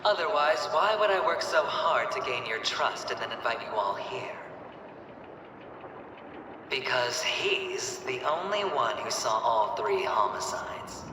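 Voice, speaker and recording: An actor voicing a flamboyant, menacing young gambler in a video game, taunting like a showman with theatrical, drawn-out delivery. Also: mid-range voice, animated, natural voice. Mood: taunting menace. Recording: echoey hall, distant, noisy game backdrop.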